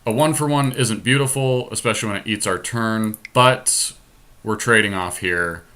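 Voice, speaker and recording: Low, bright-voiced man talking through gameplay. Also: normal speed. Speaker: podcast host playing a card game. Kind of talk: casual gameplay commentary, thinking aloud.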